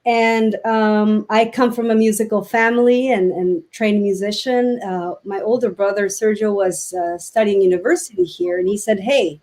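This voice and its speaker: A woman from Mexico, a native Spanish speaker, speaking as a guest on a video call and talking reflectively with many pauses and fillers. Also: mid-range voice, steady tone, darkish tone. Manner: reflective, halting with many ums. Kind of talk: casual interview chat.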